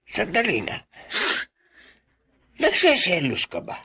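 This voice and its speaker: A man's voice: high voice, wildly swooping pitch, takes it slowly, vocal fry, pushed and bright, breathy edge, full character voice. A Filipino man playing a teasing, reasoning confidant in a radio drama.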